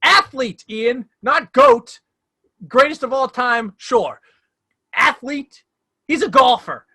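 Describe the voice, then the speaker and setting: Bright, excitable young man on a podcast. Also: extremely high pitch, slow pace, breathy edge, dry recording, studio quality. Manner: excitable, loudly argumentative banter. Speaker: podcast co-host. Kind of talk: casual sports podcast chat.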